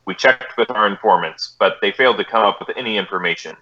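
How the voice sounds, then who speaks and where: A man's voice: fairly low pitch, fast, sharp tone, highs cut off. An American man playing a terse, businesslike police detective in a clipped, matter-of-fact 1950s radio drama style. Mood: flat, official. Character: terse, businesslike male police detective, narrating his case.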